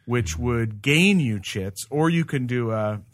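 A man hosting a casual review chat, explaining things haltingly and often groping for the right word. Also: medium pitch, animated, no echo. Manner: hesitant, halting explanations that grope for words. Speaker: podcast host reviewing games.

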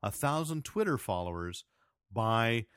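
A man with a loud, carrying voice rambles through podcast plugs and chat, correcting himself as he goes. His voice sits in a middle pitch. The sound is dry and close. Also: very animated, a little slow, clean tone, no room sound. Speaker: podcast host and editor.

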